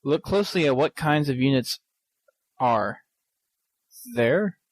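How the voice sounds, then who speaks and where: A man co-hosting a podcast, reading listener emails aloud haltingly, with frequent ums and restarts. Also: mid-range voice, animated, no echo. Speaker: podcast co-host.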